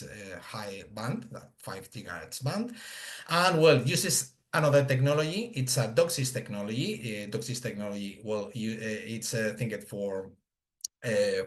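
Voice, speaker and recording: A man gives an unscripted webinar product demonstration, halting and searching for words, on a video call. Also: medium pitch. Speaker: product presenter. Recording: echoey room on a video call.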